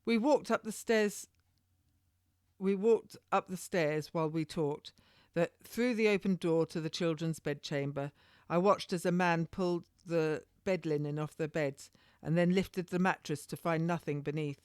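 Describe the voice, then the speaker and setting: A woman's voice: lowish voice, unhurried, darkish tone, smooth, no room sound, studio quality. A woman author narrating her own historical novel in the first person, an amateur reader who occasionally stumbles over a word. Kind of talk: scripted first-person storytelling.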